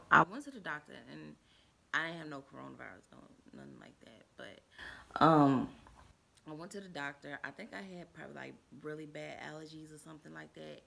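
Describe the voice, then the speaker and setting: A woman tells a story to her viewers, chatty and rambling, with lots of fillers. Her voice is low and gravelly with a bright, forceful edge, and she jumps up high when she acts out what people said. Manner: acts out quoted dialogue in a raised voice. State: stuffy and under the weather. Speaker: vlogger telling a personal story. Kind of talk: casual storytime chat.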